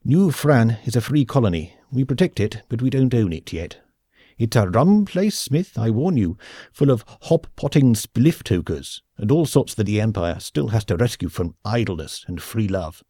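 A male audiobook reader voicing a big, slow-moving, pompous male office boss who blusters in an old-fashioned way, in a storybook reading. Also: medium pitch, big pitch swings, dark tone, breathy edge, no room sound, clean studio sound. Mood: blustering.

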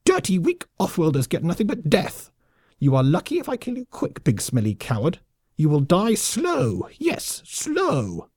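A man's voice: wildly swooping pitch, darkish tone, slightly rough, no echo, clean studio sound, full character voice. A man voicing a furious, vicious male lemming colonel who shrieks and hisses his threats. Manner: shrill, hissing, drawn-out threats.

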